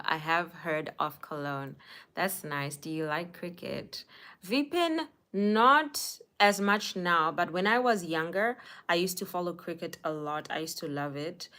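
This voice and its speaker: A woman's voice: fairly low pitch, sharp tone. A young woman from Zimbabwe hosting a casual livestream from home on her phone, greeting viewers by name and chatting with frequent laughs. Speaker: livestream host. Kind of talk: casual live chat reading viewer comments. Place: indoors at home.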